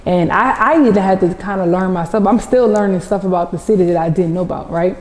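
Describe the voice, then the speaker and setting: A woman's voice: lowish voice, fast, darkish tone. A woman in her thirties from New Orleans with a New Orleans accent, telling stories in a casual interview and acting out what others said. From New Orleans, USA. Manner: storytelling, quotes others in dialogue. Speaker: guest, social media personality. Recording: reverberant roomy sound.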